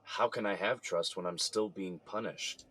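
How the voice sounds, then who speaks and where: A man's voice: deep voice, normal speed, a bit bright, slight background noise. An actor playing a jailed father calling his mother, tired, sighing and resentful. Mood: weary. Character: jailed father, weary and bitter. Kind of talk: audio drama acting.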